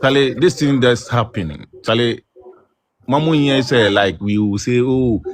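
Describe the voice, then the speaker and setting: A man's voice: medium pitch, measured pace, no room sound. A man speaking as a guest in an online live chat, earnest and repetitive. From Ghana. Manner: earnest, repetitive, calling his listener "Charlie".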